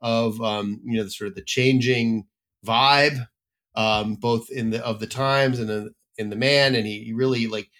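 A man's voice: medium pitch, fairly even intonation, measured pace, fairly bright tone. A man co-hosting a casual film review chat, giving blunt and emphatic opinions. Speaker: film critic co-host.

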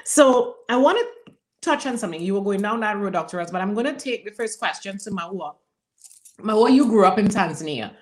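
A woman's voice: mid-range voice, lively intonation, brisk. A woman moderating a panel discussion, speaking emphatically and repeating phrases for effect. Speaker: panel host.

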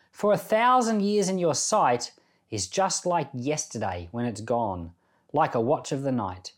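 A middle-aged man giving Bible reading and devotional commentary in an earnest, conversational way with deliberate phrasing. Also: fairly high pitch, a little mellow.

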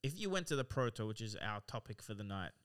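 A man co-hosting a podcast in an easygoing, candid chat. His voice sits a little low but has a bright, forward edge. He swears casually and laughs mid-sentence. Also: very animated, fast talker. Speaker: podcast co-host. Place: studio room, in person.

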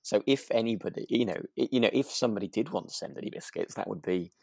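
A man's voice: fairly low pitch, fast, creaky, darkish tone, slightly rough, no echo, studio quality. A British man co-hosting a podcast in casual chat, rambling and good-humoured, with hesitant phrasing. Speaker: podcast host.

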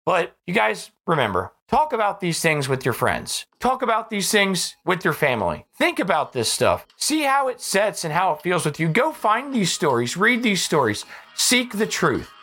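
An American podcast host delivering an impassioned political monologue, emphatic and repeating phrases for effect, with a slightly hoarse voice. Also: medium pitch, wildly swooping pitch, moderate pace, fairly bright tone. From United States.

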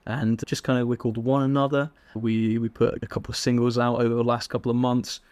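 A young man from England with an English accent, a guest telling stories in a relaxed, chatty way with frequent laughs and fillers. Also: medium pitch, brisk, no echo. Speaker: podcast guest. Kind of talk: casual interview chat.

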